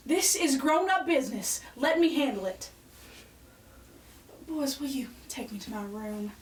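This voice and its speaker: A woman's voice: high-pitched, animated, unhurried. A woman playing an earnest member of a struggling 1940s family in a radio play. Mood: weary, firm. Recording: echoey room, distant mic, faint hiss.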